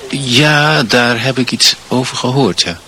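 An actor playing a reserved, modest priest in a radio drama, terse and hesitant. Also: male voice, mid-range voice, unhurried, pushed and bright, faint music behind. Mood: guarded.

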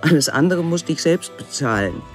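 An actress playing a woman sculptor who recalls her life in measured, articulate radio drama narration. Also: low-pitched, lively intonation, faint music behind. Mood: matter-of-fact recollection. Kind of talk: scripted first-person radio drama narration, translated voice-over.

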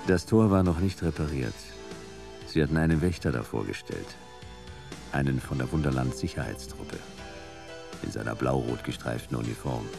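An actor playing a laconic, hard-boiled future private detective, speaking in terse sentences in a noir radio play. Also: male voice, extremely low pitch, animated, moderate pace, vocal fry, over music, exaggerated voice. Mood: terse, brooding. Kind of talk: noir first-person narration and radio play acting.

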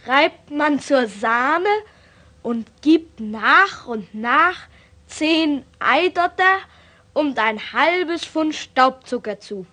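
A theatrical woman reciting a recipe in a radio documentary. Her voice is very high and bright. Every phrase comes out extremely slowly. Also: animated, exaggerated voice. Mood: measured, theatrical.

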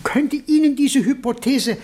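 An actor playing an eccentric, awed eyewitness in a German radio drama, telling of a ghostly sighting haltingly and theatrically, with breathy hushed passages. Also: male voice, very high-pitched. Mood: dramatic, halting.

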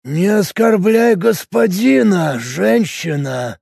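A solemn cult zealot, as a male audiobook reader puts him on, murmuring in a gravelly, husky undertone. He talks slowly and quietly, like a sermon, in a dry, close studio sound. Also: animated, dry recording, heavily acted. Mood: angry. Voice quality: low, creaky, husky murmur. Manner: slow, hushed, preaching delivery. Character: fanatical male cult adept, calm, solemn. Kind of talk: audiobook character voice.

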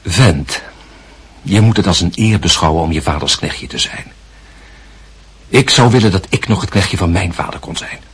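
A man's voice: sits low, lively intonation, moderate pace, pauses often, slightly rough, heavily acted. An actor playing an impatient father who brusquely orders his son about, in a radio play. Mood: stern. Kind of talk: radio drama acting.

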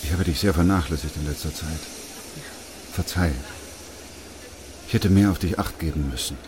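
Very low male voice, weary doctor, principled, measured, radio drama, faint background noise. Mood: tender, subdued. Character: weary, principled doctor narrating in grave, restrained manner. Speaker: lead actor and narrator. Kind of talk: radio drama acting and narration.

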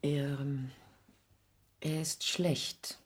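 An actress playing a grave, wounded, protective middle-aged mother, halting and solemn, in a 1960s German radio drama. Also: low voice, level tone, husky, exaggerated voice.

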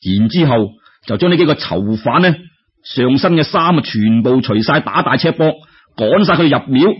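Husky Cantonese man telling a folk tale. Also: medium pitch, expressive, moderate pace, lo-fi sound. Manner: colloquial, addresses listeners directly. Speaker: storyteller. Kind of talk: traditional Cantonese storytelling, colloquial audiobook narration.

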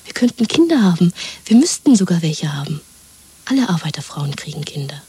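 Breathy, whispering young woman lover. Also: on the low side, sing-song, a little fast, no room sound, exaggerated voice. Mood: eager, dreaming. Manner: intimate, hushed, coaxing. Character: Julia, tender dreamy young woman lover plotting escape. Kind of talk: 1970s German radio drama acting.

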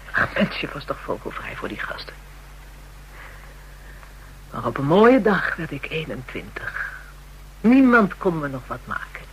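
A woman's voice: low voice, a bit bright, slight background noise, full character voice, poor audio. A woman playing a bitter, hard-bitten young call girl in a radio drama, coarse and defiant.

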